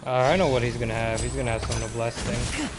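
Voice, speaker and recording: A man's voice: medium pitch, fast talker, heavy background noise. A man livestreaming a game with casual, blunt, profane commentary and jokey asides. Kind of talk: casual reactive gaming commentary. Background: loud game music.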